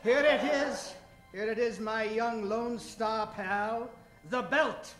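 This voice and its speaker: Very high-voiced pompous adventurer professor. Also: male voice, fairly even intonation, takes it slowly, a bit bright, exaggerated voice. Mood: proud, jolly. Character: hearty professor adventurer, fond mentor to young sidekick. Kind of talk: old-time radio adventure parody acting. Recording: roomy live stage sound with music.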